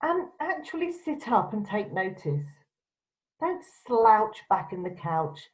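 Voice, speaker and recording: A woman's voice: medium pitch, big pitch swings, slow pace. A woman presenter giving instructional advice to camera in a firm, direct, emphatic way. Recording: dull, lo-fi sound.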